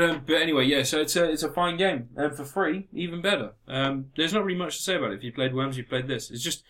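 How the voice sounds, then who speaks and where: A man's voice: medium pitch, a bit bright. A man talking informally and a bit sweary as co-host of a casual gaming podcast.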